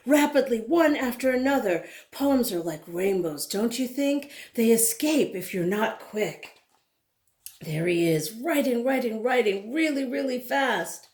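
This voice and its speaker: A woman reading a children's picture book aloud in a dramatic, deliberate way, drawing out words and leaning on repeated phrases. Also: medium pitch, darkish tone. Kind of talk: expressive storybook read-aloud. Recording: roomy, echoing indoor sound.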